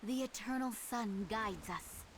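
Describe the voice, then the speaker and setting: A woman playing a solemn, proud elf warrior in a video game, speaking in measured pronouncements. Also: fairly high pitch, a little hiss or hum. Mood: solemn resolve. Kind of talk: video game character barks.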